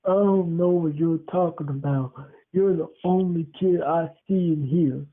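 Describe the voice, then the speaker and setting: A storyteller voicing an older, very tall homeowner who talks bluntly with a slow drawl in a spooky tale. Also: mid-range voice, pitched above the performer's own voice, close to an everyday voice. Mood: dismissive. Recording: tinny phone-like audio.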